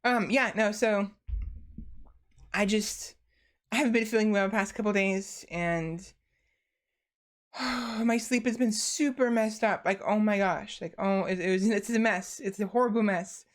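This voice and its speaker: A woman's voice: mid-range voice, steady tone, a little slow. A young woman streams from her desk, tired and chatting freely with her viewers. She rambles, restarts her sentences and leans on fillers as she talks. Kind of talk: casual chat to stream viewers.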